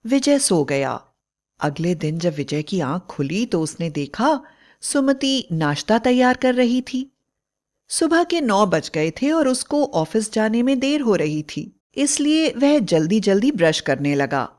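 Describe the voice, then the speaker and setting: A woman's voice: medium pitch, very animated, a little slow, darkish tone. A woman narrating a scripted bedtime story as a storyteller.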